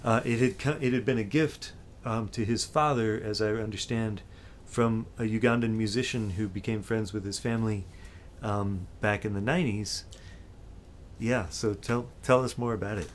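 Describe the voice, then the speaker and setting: A middle-aged man hosting a casual chat in his home basement studio, speaking in a relaxed, hesitant way with frequent ums. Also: fairly low pitch, moderate pace, slight background noise. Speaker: host musician. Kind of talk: casual video intro and chat.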